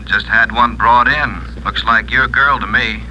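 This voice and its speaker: An actor playing a casual morgue worker phoning the police, with a telephone effect, in an old radio drama. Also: male voice, mid-range voice, steady tone, unhurried, over loud music. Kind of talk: 1940s-50s radio drama acting. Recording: muffled old radio phone call, hiss.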